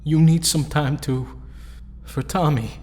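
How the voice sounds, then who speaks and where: Guy playing a downcast teen boy hero, slow and halting, echoey hall. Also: a little high, steady tone, a little mellow. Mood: weary, grieving. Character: crushed, subdued teenage boy hero. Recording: reverberant hall sound.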